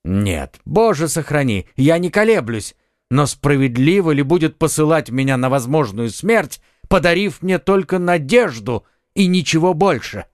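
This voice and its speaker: A man's voice: on the high side, sing-song, moderate pace, no room sound, studio quality. A man voicing an ardent, hot-headed young Gascon swordsman in an audiobook reading, impassioned and exclaiming. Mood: bold.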